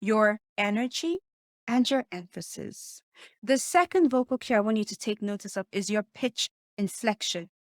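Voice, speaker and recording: A woman with a soft voice, teaching communication skills as a coach in an emphatic way, often putting questions to the listener. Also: mid-range voice, animated, moderate pace, no echo, clean studio sound. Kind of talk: teaching presentation tips to camera.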